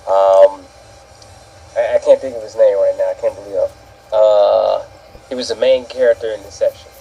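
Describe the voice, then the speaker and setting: A man's voice: fairly low pitch, fairly even intonation, normal speed, creaky, warm tone, slightly rough, dull audio. A man co-hosting a casual opinion show, ranting indignantly and swearing as he goes. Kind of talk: casual opinion rant with a co-host.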